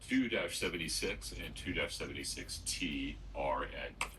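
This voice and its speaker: A man speaking as a judge, giving formal, measured instructions to a jury in a courtroom. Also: extremely low pitch, lively intonation, a bit bright. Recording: noisy courtroom audio, distant mic.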